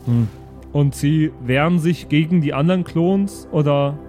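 A man voicing a naive, blunt, childlike oddball with a deadpan, literal-minded way of talking in an improvised audio play. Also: fairly high pitch, a little slow, soft background music, close to an everyday voice. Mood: naively curious.

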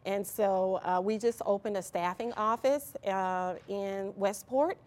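Female interview guest, medium pitch, conversational, somewhat halting as she finds her words. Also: a little mellow. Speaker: interview guest from an employment nonprofit.

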